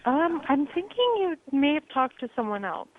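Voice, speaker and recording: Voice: high-pitched, very animated, normal speed. A worker on shift answering a phone call, polite, apologetic and a bit unsure. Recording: tinny phone line.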